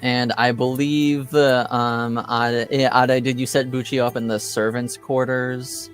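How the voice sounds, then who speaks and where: A man acts as game master for a tabletop roleplaying session. He speaks slowly, haltingly working out the narration as he goes. Faint music plays behind his voice. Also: medium pitch, flat delivery. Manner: hesitant, halting delivery.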